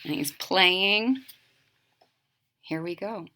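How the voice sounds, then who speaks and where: A woman's voice: lowish voice, drawn-out words, pushed and bright, a little hiss or hum. A woman doing an interactive children's read-aloud, speaking directly to young listeners with questions and asides. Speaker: storytime reader.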